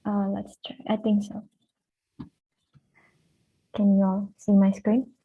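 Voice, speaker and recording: A woman's voice: mid-range voice, completely flat delivery, slow pace, breathy edge. Young woman from Indonesia, tinny line. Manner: soft-spoken, hesitant with frequent fillers. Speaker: student presenter. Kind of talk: academic presentation. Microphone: video call.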